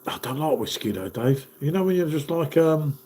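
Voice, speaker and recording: A chatty man in his fifties hosts a live stream and reads out viewers' comments. His voice sits a little high, and a cold leaves him blocked up and nasal. He keeps calling everyone "mate". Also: expressive, moderate pace, dark tone. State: stuffy nose from a cold.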